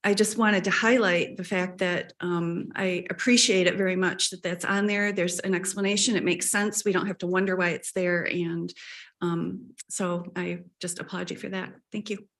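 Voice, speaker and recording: A woman giving a polite, measured public comment at a county committee meeting. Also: fairly low pitch, fairly even intonation, a bit bright.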